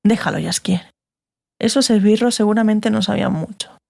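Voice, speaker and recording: Fantasy audiobook sorceress, female actor: stern, dry, authoritative, mid-pitched with plenty of vocal fry. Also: normal speed, darkish tone. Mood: dry, assured. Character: stern, sardonic, commanding sorceress. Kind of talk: dramatized fantasy audiobook dialogue.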